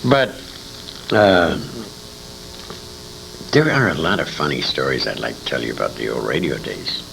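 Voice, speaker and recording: A man's voice: extremely low pitch, animated, moderate pace, creaky. An older American man telling anecdotes in casual conversation, salty and emphatic, repeating phrases for effect. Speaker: interviewee, war veteran and old-time radio performer. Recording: noisy informal interview recording.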